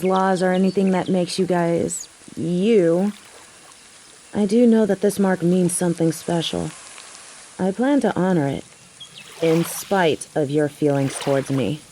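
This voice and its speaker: Mellow, snarky biker girl played by a woman. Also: fairly low pitch, measured pace, slight background noise, lightly acted. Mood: resolute. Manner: teasing, sarcastic. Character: biker girl, devoted human mate, teasing and snarky. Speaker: voice actor in an audio roleplay. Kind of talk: scripted audio drama acting.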